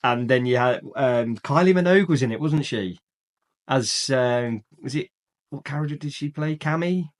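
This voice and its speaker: A man's voice: mid-range voice, normal speed. A man co-hosting a casual film chat, opinionated and rambling, with lots of fillers and restarts. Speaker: podcast co-host.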